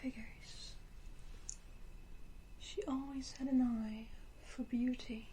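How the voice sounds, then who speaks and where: A woman voicing an elegant, condescending vampire ruler, whispering with teasing, deliberate menace in an ASMR roleplay. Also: sits high, fairly even intonation, warm tone, slight background noise, low-quality recording. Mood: amused, condescending.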